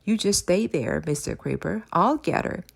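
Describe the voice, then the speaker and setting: A woman voicing a kindly, calm and sly man in disguise, polite and composed, in a children's audiobook. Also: fairly low pitch, normal speed, vocal fry, breathy edge, heavily acted. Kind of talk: audiobook character voice.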